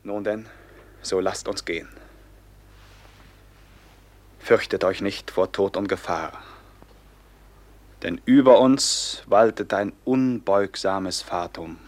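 A man's voice: low voice, slow pace, no echo. An actor playing an anguished, betrayed young man turned grim robber captain, declaiming with passion in a classic German radio drama. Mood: solemn, fatalistic. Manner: declamatory, impassioned, bursting from whispers into cries.

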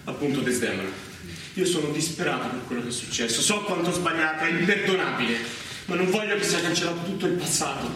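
An actor playing a disgraced, anxious lieutenant in a stage drama, speaking in a formal, apologetic and hesitant way. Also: male voice, a little high, animated, quick pace, lightly acted. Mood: apologetic, desperate. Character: disgraced young lieutenant, pleading, deferential and anxious. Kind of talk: naturalistic Italian stage drama. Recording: very echoey, distant, loud noise.

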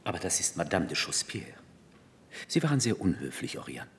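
A reproachful duke in a radio drama. The voice is extremely husky and breathy, kept hushed as it corrects a spouse. A long reverb hangs around it. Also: male voice, low-pitched, very animated, a little fast, full character voice. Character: reproachful aristocratic duke correcting his wife.

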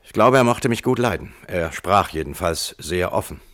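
An actor playing a frank lawyer and former security chief giving dry testimony in a radio play. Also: male voice, deep voice, expressive, moderate pace, a bit bright. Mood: candid. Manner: matter-of-fact, candid. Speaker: witness at a hearing. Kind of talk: radio play courtroom acting.

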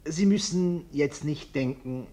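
A man's voice: a little high, slow, warm tone. An actor playing a middle-class businessman in a German radio play, effusively polite and hedging, with a pompous streak. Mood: placating, uneasy. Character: middle-class businessman, falsely jovial host, blustering, evasive.

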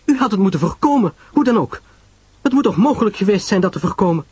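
A man's voice: high-pitched, animated, fast talker, darkish tone, slightly rough, dry recording, voice pushed up, full character voice. A man voicing an emphatic, reproachful young society woman in a mystery audio drama. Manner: emphatic, exclaiming.

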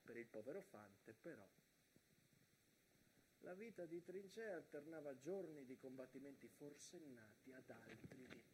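An actor performing a theatrical monologue as a soldier-narrator of the First World War, reading front-line letters aloud in emphatic, broken phrases. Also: male voice, medium pitch, expressive, measured pace, noisy background, natural voice. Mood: reflective.

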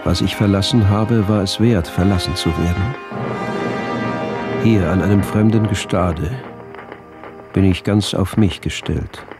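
A self-important schoolmaster with an obsessive vision, a man declaiming in a low voice. The radio drama monologue is solemn and lofty, with clear music underneath. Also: a little slow, pauses often. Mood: solemn, exalted. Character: grandiose, obsessive male schoolmaster turned colonial visionary.

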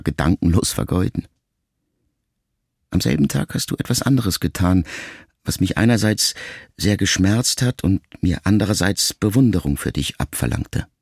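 Deep gravelly male audiobook narrator. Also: no echo, studio quality. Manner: calm, measured, reflective reading. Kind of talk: scripted first-person narration. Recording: music bed under the voice.

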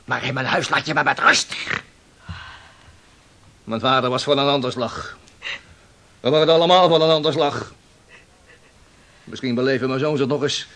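An actor playing a hot-tempered young sailor in a radio play, scornful and combative. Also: male voice, medium pitch, normal speed, long pauses, fairly bright tone, close to an everyday voice. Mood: defiant, bitter. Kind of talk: theatrical radio play acting. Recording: older radio drama recording.

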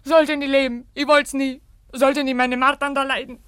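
A woman's voice: high voice, flat delivery, normal speed, a bit bright, no room sound. An actress playing a desperate, broken young country woman in a German radio tragedy, with an anguished delivery and a Silesian accent. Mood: furious, anguished. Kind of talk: German radio play acting, dialect tragedy.

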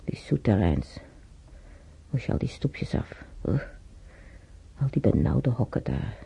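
An actor playing an elderly man who haltingly reminisces in a radio drama, searching his memory and muttering to himself. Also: lowish voice, very animated, vocal fry, warm tone, breathy edge, exaggerated voice. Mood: reminiscing. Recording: muffled old radio drama recording.

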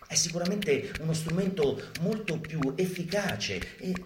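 An actor playing a sardonic urban guerrilla instructor in a live radio theatre monologue, declamatory and mock-instructional. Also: male voice, on the high side, animated, measured pace. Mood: wry, instructive. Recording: roomy live sound, faint noise.

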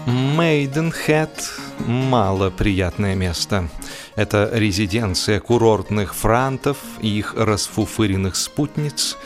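A man narrating a humorous literary story in a theatrical, expressive manner, with emphatic swells and deliberate pauses. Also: lowish voice. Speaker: audiobook narrator. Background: music.

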